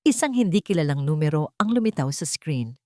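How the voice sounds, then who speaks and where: A woman's voice: low voice, sing-song, a little fast, mellow, no echo, clean studio sound. A woman with a Filipino accent reading scripted, dramatic narration for an audio drama.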